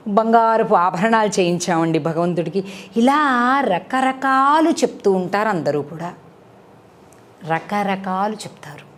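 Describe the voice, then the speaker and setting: A woman gives a devotional discourse with moral storytelling, teaching conversationally and putting rhetorical questions to her listeners. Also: mid-range voice. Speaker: spiritual speaker and storyteller.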